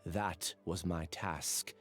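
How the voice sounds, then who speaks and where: An actor playing a troubled, earnest young scholar in a video game, speaking in a formal, solemn way. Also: male voice, deep voice, fairly even intonation, extremely slow, a bit bright, faint music behind, full character voice. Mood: solemn, resigned. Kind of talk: anime video game voice acting.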